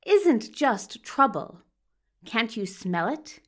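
A woman's voice: fairly high pitch, very animated, slow pace, full character voice. A woman voicing a menacing, contemptuous male villain doctor with a dangerous, commanding manner in an audio drama. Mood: cold, dangerous. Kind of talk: audio fiction acting.

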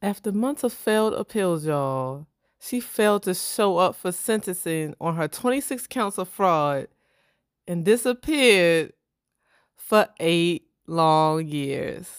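A woman's voice: fairly low pitch, expressive, takes it slowly, clean tone, dry recording, studio quality. A woman, telling a true-crime story to her co-host in a loose, chatty way and calling her listeners "y'all". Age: 30s. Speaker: podcast co-host. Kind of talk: casual true-crime podcast chat.

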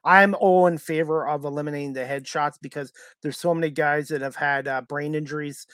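A man's voice: a little high, fairly even intonation, fairly bright tone, no echo. Man, podcast host, casual chat, dry close sound, stumbles and restarts. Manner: halting, many fillers and restarts.

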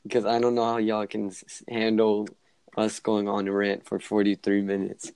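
A man's voice: fairly low pitch, monotone, moderate pace, gravelly, a little mellow, smooth, no room sound. A teenage boy hosting a casual podcast with friends over voice chat, often stumbling over his words and restarting. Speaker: podcast host.

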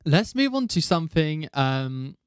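A man's voice: on the high side, very animated, unhurried, clear, resonant tone, dry recording, clean studio sound. A man hosting a casual interview, steering the talk with questions and hesitant fillers. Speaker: host.

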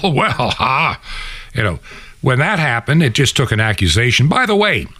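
A man's voice: mid-range voice, a bit bright, no room sound. Emphatic male talk radio host, dry sound. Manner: emphatic, conversational, rhetorical asides. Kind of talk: opinionated political radio monologue.

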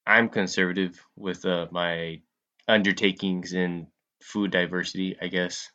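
A man co-hosting a casual podcast, reacting in a dry, halting way, recorded in dull lo-fi sound. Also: sits low, flat delivery, pushed and bright. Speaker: podcast co-host.

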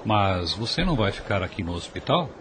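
A man with a Brazilian accent playing a protective, devout older father, calm and consoling, in a radio soap. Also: on the low side, fairly quick, background noise. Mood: concerned. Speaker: radio drama actor.

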